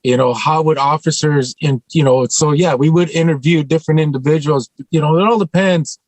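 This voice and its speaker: A man speaking earnestly on a panel as an actor, thoughtful and halting, often repeating a phrase as he goes. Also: on the high side, steady tone, darkish tone, no echo, poor audio.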